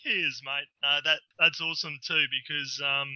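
An Australian man chatting on a podcast with a very bright, ringing tone and a slightly high voice. He rambles, laughs easily and corrects himself as he goes. The audio is dull and lo-fi, and the room sounds dry. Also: fairly even intonation, normal speed, no room sound. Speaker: guest. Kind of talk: casual podcast chat.

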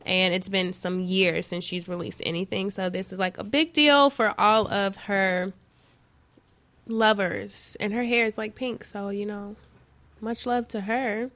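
A woman hosting a casual radio talk show in a small studio, loud and upbeat, often laughing. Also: mid-range voice, fairly even intonation, measured pace, no room sound. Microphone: studio mic.

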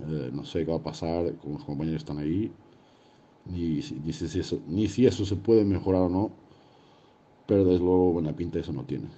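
A man's voice: a little fast, mellow. A man from Portugal with a Portuguese accent, talking casually as an interview guest and repeating phrases for emphasis. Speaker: horse racing guest. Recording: muffled low-fidelity link with faint noise.

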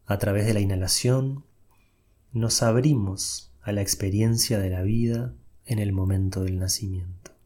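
Slow, breathy man guiding meditation. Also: lowish voice, steady tone. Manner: soft, unhurried instructions with long pauses. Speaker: meditation guide. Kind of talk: guided meditation.

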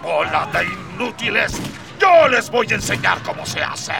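A man playing a bullying, gravel-voiced alien colonel who shouts commands in a radio drama. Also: high-pitched, very animated, normal speed, full character voice. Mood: furious. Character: tyrannical alien colonel, bullying, barking orders at troops. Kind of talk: Spanish-language radio drama acting. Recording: echoey, distant, loud music behind.

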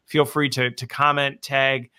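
Brief: male host, bright, crisp voice, recapping the guest's points and wrapping up. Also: mid-range voice, flat delivery, a little slow. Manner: affirming, summarizes the guest. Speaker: show host. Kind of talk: interview and sign-off.

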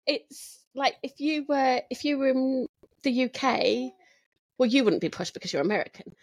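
A woman from Southwest London speaks with a London English accent, tossing off quick, self-deprecating jokes. Her voice sits fairly high and sounds close and dry, with no room around it. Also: lively intonation, dry recording. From Southwest London, England. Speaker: guest author. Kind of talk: casual podcast chat.

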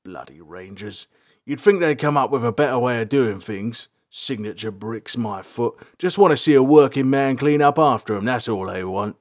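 This is a man acting out a gruff caretaker from a fantasy storybook. He grumbles and mutters like a disgruntled working man. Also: fairly low pitch, expressive, measured pace, fairly bright tone, full character voice. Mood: grumbling.

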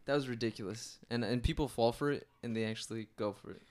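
A man's voice: mid-range voice, fast, a little mellow. A young man hosting a podcast, chatting casually and joking with his co-hosts, often trailing off mid-sentence. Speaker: podcast host. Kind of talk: casual chat.

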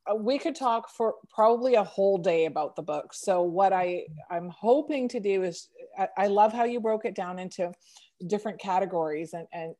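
Woman, interview host, enthusiastic, effusive, halting restarts, slightly low voice. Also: warm tone. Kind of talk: interview conversation.

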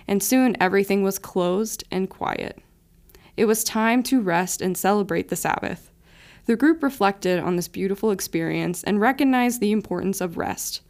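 Creaky-voiced woman reading a devotion. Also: mid-range voice, a little mellow. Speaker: devotional writer and reader. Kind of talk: scripture reading and scripted reflection.

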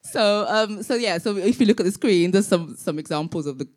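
A woman designer from Tanzania talks an audience through her work. She speaks haltingly, with many pauses and restarts, in a soft, dark-toned voice. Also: mid-range voice, animated. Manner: hesitant, many fillers and restarts. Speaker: fashion designer presenting her brand. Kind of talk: live presentation to an audience.

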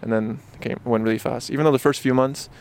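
A man's voice: mid-range voice, steady tone, fast talker, vocal fry, darkish tone, clean tone. A man in his twenties, born in Japan and raised in Luxembourg and Barcelona, chatting casually as an interview guest outdoors in a park, with lots of fillers and restarts. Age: 20s. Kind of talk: casual conversation.

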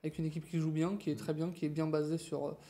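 A man's voice: fairly high pitch, flat delivery, fast, mellow. A man in a casual football discussion giving thoughtful, hedged analysis. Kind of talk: casual football podcast chat.

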